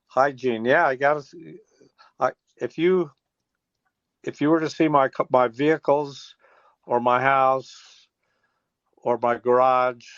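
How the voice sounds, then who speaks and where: Male, 60s, American. Folksy, meandering interviewee, mid-pitched, over a dull phone connection. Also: clear, resonant tone, no echo. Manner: folksy, rambling with trailing restarts. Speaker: interview guest, teacher and former air traffic controller. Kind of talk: casual phone interview, reminiscing. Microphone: telephone.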